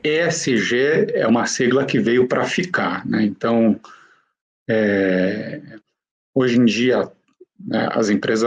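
A man with a Brazilian Portuguese accent, speaking conversationally as an interview guest and often checking in with short tag questions. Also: mid-range voice. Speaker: interview guest, company professional.

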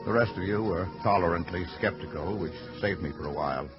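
An actor playing a haunted mental wizard who foresees doom, speaking portentously in an old-time radio drama. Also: male voice, deep voice, natural voice. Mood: somber narration. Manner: slow, halting, portentous delivery. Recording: dull vintage radio recording, loud music.

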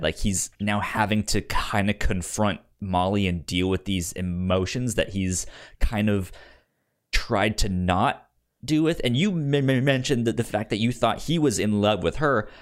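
A man co-hosting a podcast, chatting casually about television in a halting way, often stammering over the starts of words. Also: on the low side. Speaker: podcast co-host. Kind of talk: casual chat discussing a TV series.

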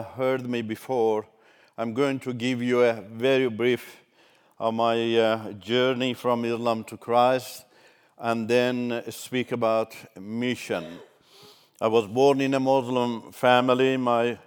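Older man, native Turkish speaker, unhurried pauses, lowish smooth tone. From Iran. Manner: measured, halting with pauses. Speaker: ministry speaker. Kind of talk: personal testimony talk.

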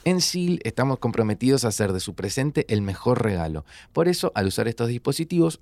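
A man's voice: medium pitch, gravelly, a little mellow, dry recording. An actor playing a worried, skeptical young man from Buenos Aires in an audio drama, with an informal, sardonic way of talking. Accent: Argentine, Buenos Aires. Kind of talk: naturalistic audio fiction acting.

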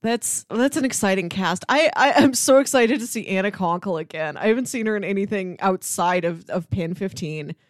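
A woman's voice: mid-range voice, normal speed, hardly any pauses, no room sound, clean studio sound. A woman co-hosting a podcast, chatting casually about TV. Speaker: podcast co-host.

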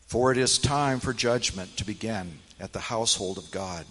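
A man reads scripture aloud as a church elder, solemnly and with measured, deliberate phrasing. His voice is husky. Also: lowish voice, a bit bright. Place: large church hall, speaking to congregation. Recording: long hall reverb.

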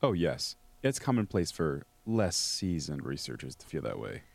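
A man playing a calm, imperious senior scientist in an audio drama, speaking formally and dismissively. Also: very deep voice, normal speed, gravelly, dry recording, heavily acted. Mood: formal, composed. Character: calm, imperious senior male scientist running secret labs. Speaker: doctor, lab director.

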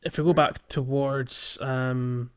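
A man hosting a podcast, talking through a video game in a casual, halting way as he thinks aloud. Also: medium pitch, fairly even intonation, a bit bright, clear, resonant tone, muffled recording. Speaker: podcast host. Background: music.

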